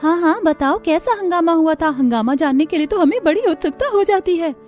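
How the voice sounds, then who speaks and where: A woman voicing an excitable, curious young village housewife in a cartoon story, exclaiming dramatically. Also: extremely high pitch, a little fast, soft background music, pitched above the performer's own voice. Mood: eager curiosity. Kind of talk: Hindi moral-story cartoon dubbing.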